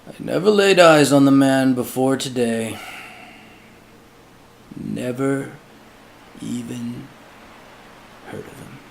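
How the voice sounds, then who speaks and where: A man playing a huge, sly and enigmatic judge in an audiobook, speaking in a calm, deadpan, unhurried way. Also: medium pitch, vocal fry, slight background noise, voice pushed up. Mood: calm, amused.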